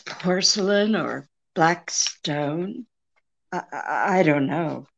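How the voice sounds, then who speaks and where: A woman acting an alluring, weary, deceitful femme fatale in a 1940s-style radio drama, pleading with dramatic pauses. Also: fairly low pitch, expressive, vocal fry, a bit bright, highs cut off, heavily acted. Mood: weary, remorseful.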